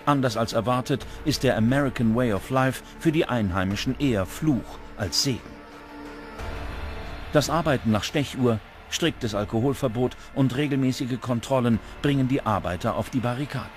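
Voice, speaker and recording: Male narrator, scripted documentary delivery, medium pitch, clear music bed. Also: normal speed. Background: background music.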